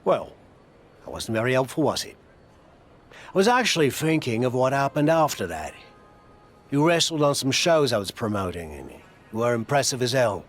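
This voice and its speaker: A British veteran wrestler mentoring in a game documentary. He has a mid-pitched, animated voice, wry and colloquial, coaxing with dry humour. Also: moderate pace, soft background music. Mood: wry reminiscing. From United Kingdom. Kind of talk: video game documentary cutscene acting.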